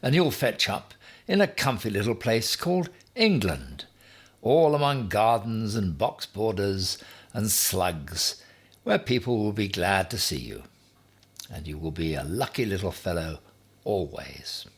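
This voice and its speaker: A man's voice: on the low side, animated, measured pace, breathy edge, exaggerated voice. A man voicing a bossy, boastful would-be emperor in a children's story, with a pompous, emphatic, commanding manner. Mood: brisk, reassuring. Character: Ham, bossy, boastful man, self-styled future emperor.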